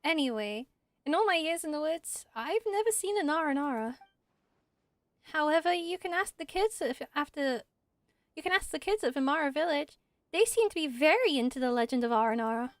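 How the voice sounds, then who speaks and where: A woman's voice: high voice, expressive, brisk, no room sound. A woman voicing an earnest, dutiful young female forest ranger in a fantasy game, explaining things carefully.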